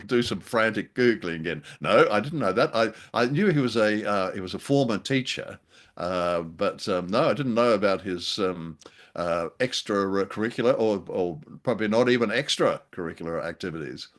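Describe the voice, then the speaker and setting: A man's voice: lowish voice, animated, normal speed. A man co-hosting a casual podcast chat, talking in a jokey, rambling, hesitant way. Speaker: podcast co-host.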